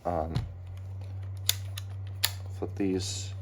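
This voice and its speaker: A man with a fairly low voice talks through a casual hobbyist unboxing. His delivery is very slow and halting, with pauses as he handles things. There is some background noise, along with small clicks from the items. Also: level tone. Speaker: hobbyist unboxing weather radios. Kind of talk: casual unscripted unboxing commentary.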